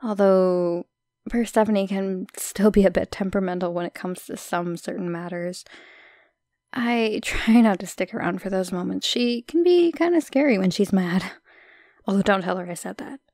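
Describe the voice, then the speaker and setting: A young woman playing a shy, friendly, modest minor goddess in an audio roleplay, chatting hesitantly with soft asides. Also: mid-range voice, normal speed, no room sound, clean studio sound, natural voice. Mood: gossipy, careful.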